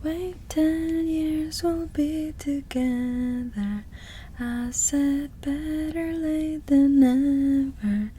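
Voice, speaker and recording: High-pitched woman softly singing ASMR. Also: drawn-out words, a little hiss or hum. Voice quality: soft, breathy, often whispered. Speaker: ASMR performer. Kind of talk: ASMR soft singing and whispering.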